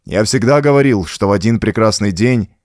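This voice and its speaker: A male narrator plays a tough working man, the hero of a pulp audiobook tale. He speaks bluntly and urgently under strain, with lively swings in pitch and a dry, close sound. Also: fairly quick, no room sound, lightly acted. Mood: angry. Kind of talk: audiobook character acting.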